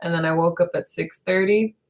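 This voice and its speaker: A young woman chatting with a friend at home during a livestream, tired and quick to laugh. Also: fairly low pitch. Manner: laughing, animated reactions. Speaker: friend co-hosting the stream. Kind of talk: casual chat. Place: home, indoors.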